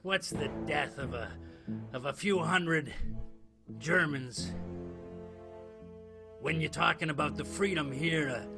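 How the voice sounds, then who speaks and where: A man acting in an improvised radio soap as an earnest war veteran turned chummy investigator, speaking emphatically and haltingly. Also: a little high, creaky, music in the background. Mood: rallying, indignant.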